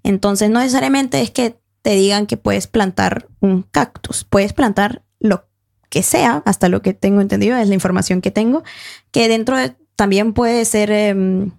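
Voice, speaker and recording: A woman's voice: medium pitch, moderate pace, creaky, darkish tone. A young woman on a discussion panel, speaking, thoughtful and hesitant, with many fillers and self-corrections. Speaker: panel guest. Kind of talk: academic discussion.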